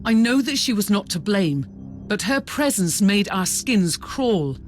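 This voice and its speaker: Game officer: female starship captain, mid-range, measured, dutiful, soft music underneath. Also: medium pitch, big pitch swings. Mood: sober, pensive. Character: sober, dutiful starship captain, concerned and frank. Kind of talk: dramatic video game cutscene acting. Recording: music behind the voice.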